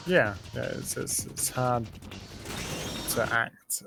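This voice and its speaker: A man's voice: mid-range voice, slow pace, creaky, music in the background. A man chatting casually as a guest co-commentator on a game stream. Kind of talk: casual chat over gameplay. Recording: noisy stream voice chat.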